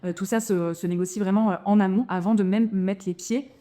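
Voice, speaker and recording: A woman's voice: medium pitch, fairly even intonation, a little fast, dark tone. A woman in her thirties, a dentist talking casually to camera about her move abroad, with many fillers and restarts. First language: French. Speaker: dentist sharing her expat experience.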